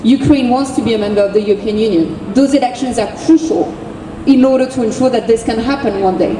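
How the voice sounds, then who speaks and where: A woman's voice: a little high, fast talker. A woman with a French accent giving an impassioned speech as an activist at an outdoor street rally, driving her points home with repeated phrases. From France. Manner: forceful, repetitive rallying cadence. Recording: distant, echoey street recording with noise.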